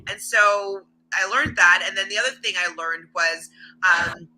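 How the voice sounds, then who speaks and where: A woman's voice: mid-range voice, measured pace, bright, forceful tone, low-quality recording. A woman who is an actress and hypnotherapist, talking casually and reflectively, rambling with many fillers.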